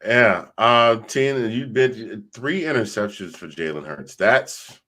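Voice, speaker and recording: A man's voice: on the low side, expressive, measured pace, sharp tone. Joking man hosting with a slight stutter. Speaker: show host. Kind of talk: casual sports panel chat.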